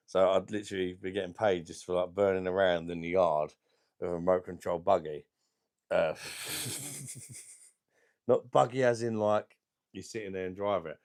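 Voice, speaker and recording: A middle-aged man with a deep voice and a sore throat, chatting casually as he hosts a beer channel livestream and reads out viewer comments in a rambling, laid-back way. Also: gravelly. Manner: rambling, laid-back, chuckling between remarks. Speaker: beer review channel host.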